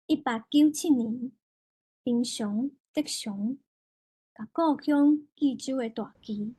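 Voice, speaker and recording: Slow, high-voiced Taiwanese woman reciting. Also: dark tone, slightly rough, dry recording, poor audio. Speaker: poetry reader. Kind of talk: expressive literary recitation.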